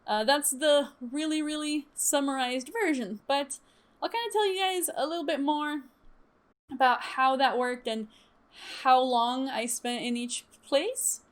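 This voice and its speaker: A young woman from Chile chatting casually to her stream viewers, lively and laughing often, with many fillers. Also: high-pitched, a little slow. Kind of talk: casual storytime chat.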